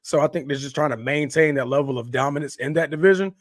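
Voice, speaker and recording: A man co-hosting a sports podcast with a hoarse, raspy voice, chatting loosely with plenty of fillers. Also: on the high side, fast, no echo, clean studio sound. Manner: relaxed, rambling with many fillers. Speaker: podcast co-host. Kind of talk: casual sports chat.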